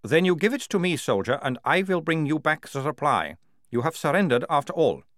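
Man acting a clipped, animated army captain, pitched up. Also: fairly quick, no room sound, clean studio sound. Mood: commanding. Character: army captain, gruff man, incredulous then conspiratorial.

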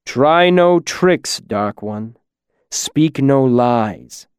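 A stern lord passing judgment in a fantasy story, played by a male narrator. He is pitiless and commanding, weighing each word slowly in a mid-pitched voice, recorded dry and close. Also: darkish tone, smooth, no echo, natural voice. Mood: pitiless warning. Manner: slow, deliberate, commanding. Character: stern, pitiless lord, captor and judge of a demon.

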